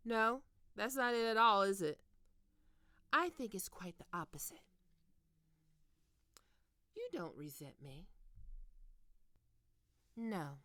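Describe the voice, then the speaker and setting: Stern goth professor, acted by a woman, ASMR roleplay: condescending and teasing, crisp forceful edge, very measured delivery. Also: medium pitch, dry recording, heavily acted. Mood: knowing, accusing. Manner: condescending, taunting, deliberate with pauses. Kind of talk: ASMR roleplay, scripted monologue to listener.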